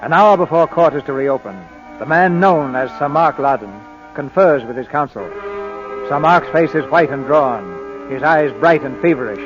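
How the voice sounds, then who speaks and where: An actor playing a grave, dramatic narrator of a 1940s radio drama, reading scripted narration. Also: male voice, fairly high pitch, lively intonation, normal speed, natural voice. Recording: muffled 1940s radio recording. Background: music.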